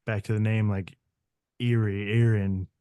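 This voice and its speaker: A man's voice: lowish voice, flat delivery, measured pace, warm tone, clean tone, dry recording. A man hosting a casual podcast, laid-back and slangy with short reactions.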